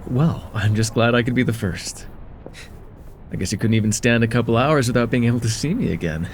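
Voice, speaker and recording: A man playing an affectionate, teasing young chemistry student in a casual, intimate one-sided roleplay, talking with hesitant, soft-hearted banter. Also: mid-range voice, a little fast, a little hiss or hum, close to an everyday voice. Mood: teasing, welcoming. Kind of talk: slice-of-life ASMR roleplay, intimate one-sided dialogue.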